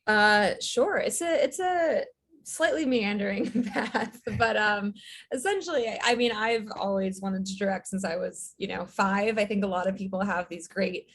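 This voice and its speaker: A woman in her thirties, a writer-director guest, chatting in a relaxed way with lots of "um"s. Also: medium pitch, moderate pace. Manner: relaxed, fillers, light laughs. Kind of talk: casual interview chat.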